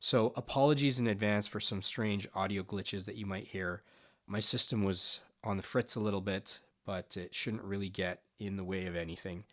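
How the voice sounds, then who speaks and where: A man's voice: lowish voice, fairly even intonation, normal speed, a bit bright. A man hosting a podcast, introducing his guest and asking questions with enthusiasm and a few stumbles. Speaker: podcast host.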